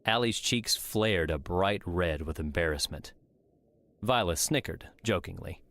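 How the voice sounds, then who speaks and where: A man's voice: low voice, measured pace, fairly bright tone. A man reading scripted story narration as an audiobook narrator.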